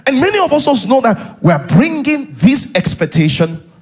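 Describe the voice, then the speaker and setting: A middle-aged man with a Nigerian accent and a husky voice, preaching as a pastor to a live congregation in an animated, conversational way, full of interjections and rhetorical questions. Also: extremely high pitch, moderate pace. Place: large church auditorium, on stage. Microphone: headset.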